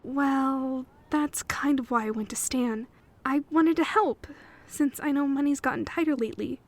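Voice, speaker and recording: A woman's voice: high-pitched, moderate pace. An actress playing a meek, well-meaning young girl in an audio drama, with a timid, questioning manner. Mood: anxious, apologetic.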